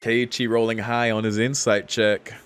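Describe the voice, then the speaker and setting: A man's voice: mid-range voice, little pitch movement, moderate pace, clear, resonant tone. A young man giving casual game commentary as a streamer, musing in a laid-back, slangy way and reading text aloud. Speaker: streamer playing a visual novel.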